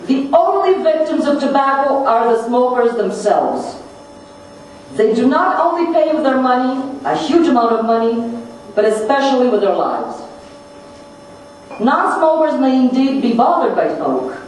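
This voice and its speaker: Woman w high voice reading out a formal conference speech, emphatic, super echoey room, far from the mic. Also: sing-song, unhurried, a little mellow. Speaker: conference speaker reading another person's message. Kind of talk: formal speech read aloud from a script. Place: large echoing conference room. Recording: very echoey hall, distant mic, noisy.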